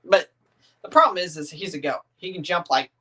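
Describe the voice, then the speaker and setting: A man in his thirties with a slightly raised pitch chats loosely with his viewers, reading their comments aloud and answering them one by one. He rambles, restarts sentences and leans on lots of "um"s, keeping everything relaxed and informal. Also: a little fast, husky. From northern United States. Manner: rambling, easygoing, many "um"s and restarts. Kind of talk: casual livestream chat.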